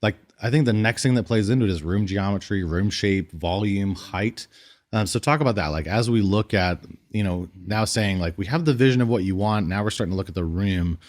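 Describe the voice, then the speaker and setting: A man's voice: on the low side, fairly quick. A man co-hosting a podcast, chatting casually about technical design, thinking aloud and steering the talk with questions. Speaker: podcast co-host, audio system designer.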